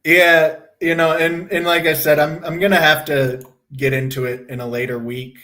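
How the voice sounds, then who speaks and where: A man's voice: a little high, steady tone, normal speed, sharp tone. A young man hosting a casual livestream. He talks bluntly, rambles, swears freely, and is losing his voice from a sore throat. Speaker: livestream host.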